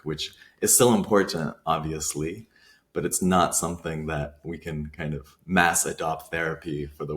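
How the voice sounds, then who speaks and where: A man from Iran, co-hosting a conversational podcast, explaining his views in a thoughtful, measured way with fillers. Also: very deep voice. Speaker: podcast co-host.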